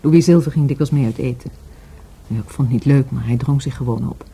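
An actress in a Dutch radio drama playing a wary woman questioned about her dead friend, with a husky voice. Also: very deep voice, a little fast, dark tone, exaggerated voice. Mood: reminiscing.

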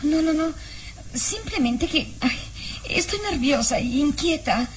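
A woman playing an anxious, gentle young bride-to-be, hesitant, breathless and faltering, in an old radio serial. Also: high-pitched, lively intonation, a little slow, poor audio. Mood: nervous, evasive. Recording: old radio recording, faint noise.

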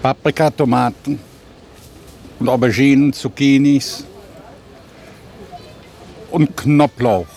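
A man's voice: medium pitch, fairly even intonation, slow pace, pauses often, a little mellow, a little hiss or hum, poor audio. A man working as a market greengrocer, talking in a plain, colloquial way. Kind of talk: casual interview talk and market calls.

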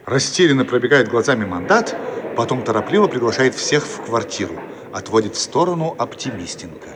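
An actor narrating the stage directions of a satirical radio comedy in an expressive, measured storytelling manner. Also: male voice, medium pitch, crowd noise, close to an everyday voice. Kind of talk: scripted dramatic narration. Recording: old radio recording, long reverb, clear noise.

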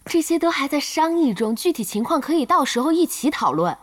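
声音偏高、直率急性子的女老板. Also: female voice, sits high, sing-song, brisk. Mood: defensive. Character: blunt, quick-tempered young female team boss. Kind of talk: Mandarin audio drama acting.

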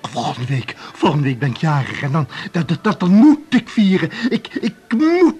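An actor with a Netherlands Dutch accent playing a desperate, scheming, morphine-addicted former family doctor in a radio drama, wheedling and agitated, stammering and repeating himself. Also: male voice, fairly high pitch, wildly swooping pitch, moderate pace, a little mellow, breathy edge, heavily acted. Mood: desperate pleading.

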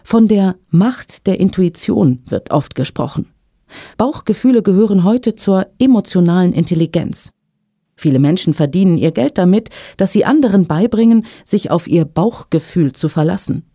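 A woman whose native language is Russian, reading her own magazine column aloud in a measured, clear way for language learners. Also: on the low side, expressive, warm tone, no echo, studio quality.

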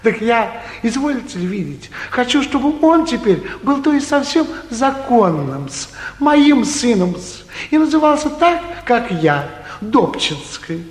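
A man's voice: very high-pitched, very animated, a little slow, few pauses, exaggerated voice. An actor playing a fussy, timid provincial landowner and doting father, hesitant, roundabout and deferential, in theatrical 1940s comedy style. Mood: pleading, deferential. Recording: echoey 1949 theatre radio production.

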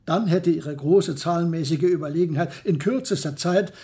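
A man's voice: on the high side, normal speed, mellow, close to an everyday voice. An actor playing a clinical, didactic male analyst of battle tactics in a radio drama. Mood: clinical explaining. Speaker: military analyst.

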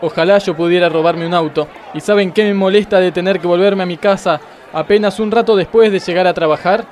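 A man's voice: high voice, brisk, a little mellow, natural voice. An Argentine man playing a pompous, exasperated, self-pitying judge in a comic radio play, declaiming melodramatically. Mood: melodramatic self-pity. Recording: crowd noise behind the voice.

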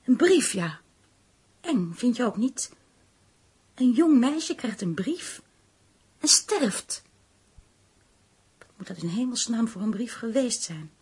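Worried gossipy woman in a radio drama, really breathy husky voice, dramatic, bit high. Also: very animated, takes it slowly, pauses often, a little mellow, exaggerated voice. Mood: shocked, grave. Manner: dramatic, lingering over grim news. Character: concerned, gossipy woman confiding in her friend. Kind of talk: radio play acting.

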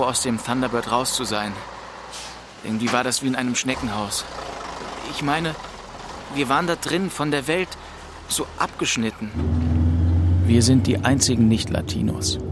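A man's voice: medium pitch, creaky, background noise, natural voice. An actor playing a weary, bitter young man who narrates his own story in an audio drama. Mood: weary, fed up. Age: young adult.

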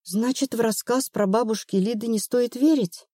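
A woman's voice: fairly high pitch, normal speed, dark tone, no echo, studio quality, voice pushed up, close to an everyday voice. A woman narrator playing an anxious, stubborn woman of thirty-one in an audiobook, plaintive and earnest. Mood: doubtful.

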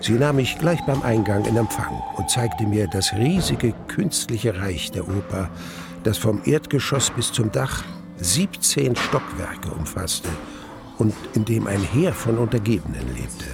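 An actor playing a wistful man recounting his past love as narrator of a German radio play, in measured, literary standard German. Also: lowish voice, animated, gravelly, over loud music. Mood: reflective.

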